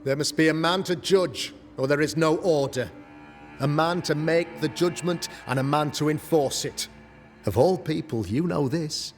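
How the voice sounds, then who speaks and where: A man's voice: a little high, measured pace. An actor playing a pompous, self-righteous colonial governor who sermonizes grandly over his townsfolk. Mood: stern. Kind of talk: video game cutscene acting. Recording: long reverb under game music.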